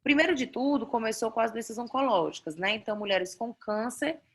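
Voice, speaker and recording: A woman's voice: a little high, brisk. A woman doctor with a Brazilian Portuguese accent giving an online class, explaining slides step by step and often checking in with "né?". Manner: explanatory, frequent "né?" tags and restarts. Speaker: doctor teaching a fertility class. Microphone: video call.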